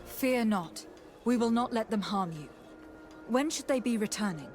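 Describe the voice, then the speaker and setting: A woman's voice: fairly high pitch, lively intonation, normal speed, a little mellow, soft background music, close to an everyday voice. A woman playing a resolute, commanding noblewoman investigator in a video game, speaking formally and with assurance. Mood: calm, reassuring.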